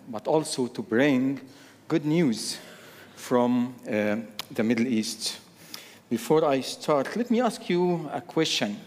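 A man, preaching a sermon to a church in a slow, deliberate way and repeating key phrases. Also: mid-range voice, a little mellow. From Egypt. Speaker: guest preacher. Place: church sanctuary. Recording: long church hall reverb.

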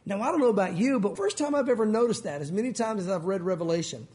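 A male pastor with a loud voice, preaching in a conversational, emphatic way. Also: high voice, expressive, quick pace. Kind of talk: sermon.

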